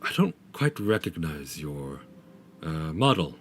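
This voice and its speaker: A man's voice: rumbling low, big pitch swings, unhurried, a little hiss or hum, full character voice. A man voicing a courteous, dignified Dragonborn diplomat in a tabletop fantasy game, speaking formally and somewhat hesitantly. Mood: courteous, measured.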